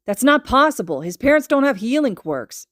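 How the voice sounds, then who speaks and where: A woman voicing a gruff, bossy, hot-tempered young boy with a barking, scornful delivery in an audiobook. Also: on the high side, sing-song, moderate pace, fairly bright tone, dry recording, clean studio sound, voice pushed up. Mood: irritated. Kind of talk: fan fiction audiobook acting.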